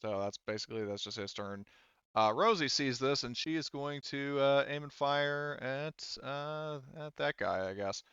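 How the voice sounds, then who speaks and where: Male game master, hesitant and casual, clear resonant voice, close dry sound with muted top end. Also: mid-range voice, measured pace, fairly bright tone, dry recording, highs cut off. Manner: hesitant, thinking aloud. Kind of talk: casual narration and refereeing of a tabletop game.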